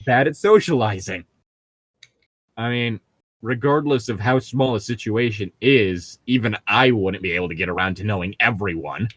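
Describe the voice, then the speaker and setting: A man's voice: lowish voice, normal speed, fairly bright tone, husky, no echo. A young man chatting casually and reading aloud, with sarcastic, exasperated, swear-heavy commentary. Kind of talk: casual chat with mocking read-aloud commentary.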